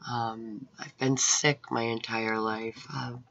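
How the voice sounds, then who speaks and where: Woman, middle-aged, gravelly husky voice, unhurried earnest testimony, dull webcam sound. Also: extremely low pitch, flat delivery, a bit bright, a little hiss or hum. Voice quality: raspy, hoarse. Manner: rambling, earnest, religious. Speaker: Bible college student. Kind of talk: personal video testimony. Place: room at home with painted scripture wall. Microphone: webcam at close range.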